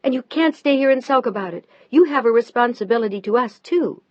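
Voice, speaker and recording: A woman voicing a devoted wife who talks gently but firmly to her husband. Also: mid-range voice, expressive, normal speed, slightly rough, no room sound. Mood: heated. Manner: gentle but firm, rising when pushed. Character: devoted, pleading wife of a surgeon. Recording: dull, dry lo-fi recording.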